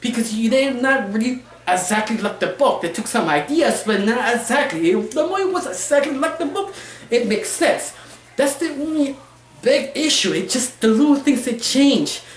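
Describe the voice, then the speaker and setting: A woman giving a casual, opinionated review, rambling and halting, with non-fluent English phrasing. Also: a little high, lively intonation. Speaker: cartoon reviewer. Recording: roomy, echoey sound.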